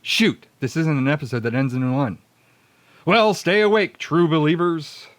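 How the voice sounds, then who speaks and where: A man's voice: a little high, animated, moderate pace, a bit bright, no echo. A man playing a rambling radio show host who stalls and muses aloud in a radio drama read-through. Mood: musing, stalling.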